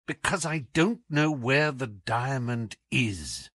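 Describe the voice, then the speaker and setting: Man acting a brilliant, imperious British detective, very animated. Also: mid-range voice, no room sound, studio quality. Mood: animated, wry. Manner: theatrical, brisk, commanding, wry. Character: brilliant, whimsical, imperious male English detective. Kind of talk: audiobook character reading.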